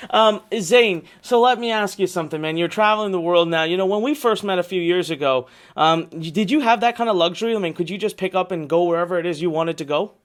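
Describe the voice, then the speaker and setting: A man hosting an online workshop, gushing and excitable as he talks over a video call. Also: high voice, quick pace, few pauses. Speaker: webinar host. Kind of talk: enthusiastic webinar hosting.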